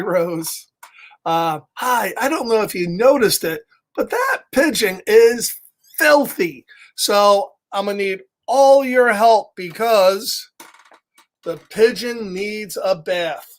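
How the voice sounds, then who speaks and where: A man's voice: sits high, a bit bright. Playful man reading aloud to children. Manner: playful, chatty, breaks off to greet viewers. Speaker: children's storyteller. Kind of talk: casual interactive read-aloud of a picture book.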